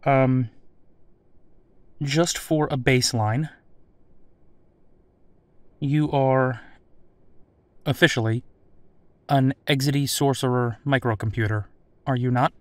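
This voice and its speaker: A man playing a calm, courteous military captain conducting an interview in an audio drama, conversational and slightly hesitant. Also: mid-range voice, slow pace, pauses often, dry recording, lightly acted. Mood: polite, measured.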